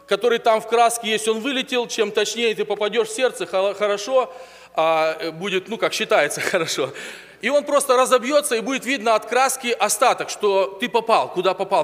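A man's voice: high voice, a bit bright. A man preaching a sermon in a conversational way, with hesitations and questions to the congregation, in a church hall. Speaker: pastor. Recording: long reverb of a church hall.

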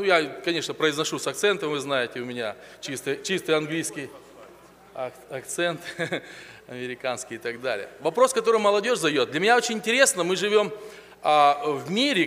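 A man's voice: a little high, fairly bright tone. Man preaching in a reverberant church hall. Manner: conversational preaching with hesitations, questions to the congregation. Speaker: pastor. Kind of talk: sermon.

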